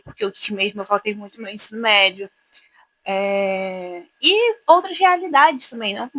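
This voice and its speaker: A woman's voice: on the high side, fairly bright tone, no room sound, poor audio. A woman in her twenties, talking casually to camera in a rambling, confessional way, often losing her thread. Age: 20s. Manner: rambling, self-interrupting, chatty. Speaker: vlogger.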